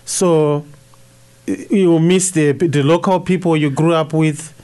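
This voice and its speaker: Soft-spoken Zimbabwean-born priest, hesitant. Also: male voice, on the high side, fairly even intonation, slow pace, clean tone. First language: Shona. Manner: thoughtful, hesitant with many uhs and restarts. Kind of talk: radio interview answers.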